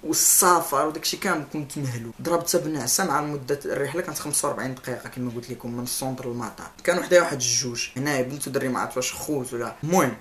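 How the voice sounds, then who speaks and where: A man's voice: mid-range voice, racing, hardly any pauses. A young man in his twenties with a Moroccan Arabic accent, telling a story in a casual vlog and gesturing the whole time. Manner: animated, gestures constantly, laughs while telling. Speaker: vlogger. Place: indoor room, seated on a couch. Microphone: camera mic, close framing.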